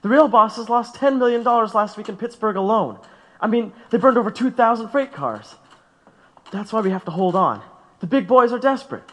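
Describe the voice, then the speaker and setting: An actor playing an earnest, loving young labor organizer in an audio drama. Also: male voice, high voice, animated, a little fast, bright, forceful tone. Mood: fervent. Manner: earnest, fervent. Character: fervent, loving young male railroad strike organizer.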